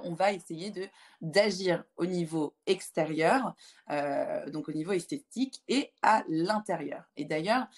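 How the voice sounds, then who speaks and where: Halting young woman, slightly low voice. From Lyon, France. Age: 20s. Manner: hesitant, halting delivery with frequent filled pauses. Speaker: interview guest, start-up founder and pharmacist. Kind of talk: conversational interview answers.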